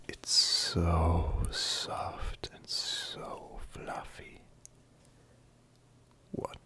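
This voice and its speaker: A man playing an affectionate, teasing furry male friend in an intimate roleplay ASMR, softly flirtatious and hesitant, with a breathy, whispery voice. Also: mid-range voice, exaggerated voice.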